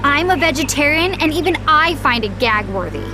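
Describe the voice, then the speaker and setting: A woman's voice: sits high, big pitch swings, fairly quick, over music. A woman voicing a bossy, snarky ten-year-old American girl in a cartoon video game. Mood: disgusted, incredulous. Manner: snarky, incredulous. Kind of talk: animated game cutscene acting.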